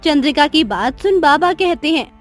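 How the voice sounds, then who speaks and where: A woman's voice: sits high, expressive, moderate pace, faint music behind. A woman giving scripted narration for a cartoon story.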